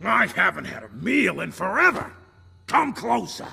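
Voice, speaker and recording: An actor voicing a gluttonous, dim and murderous pig mascot in a horror game, with a childishly greedy manner. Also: male voice, sits high, very animated, a little slow, vocal fry, pushed and bright, exaggerated voice. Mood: greedy, hungry. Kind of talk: horror game monster acting. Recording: long hall reverb, some noise.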